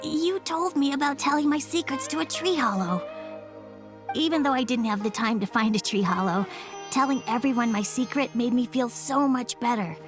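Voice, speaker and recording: A woman's voice: sits high, moderate pace, music in the background, lightly acted. A woman voicing an earnest, imaginative young boy in a video game, speaking sincerely. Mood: hopeful, grateful. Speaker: voice actor in a game. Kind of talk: emotive video game story dialogue.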